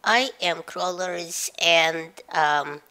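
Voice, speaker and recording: A woman commenting on gaming news, speaking in a halting way with many fillers and sounding stuffy from a head cold. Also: fairly low pitch, very noisy. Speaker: gaming YouTuber.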